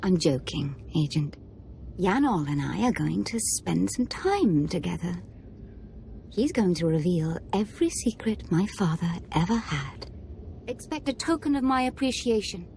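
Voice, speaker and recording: Capricious, swooping young villainess. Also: female voice, on the low side, very animated, unhurried, gravelly, a little mellow, slight background noise, heavily acted. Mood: playful menace. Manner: imperious, playfully menacing. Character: capricious, sadistic young noblewoman of a dark sorcerer order. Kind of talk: video game cutscene acting.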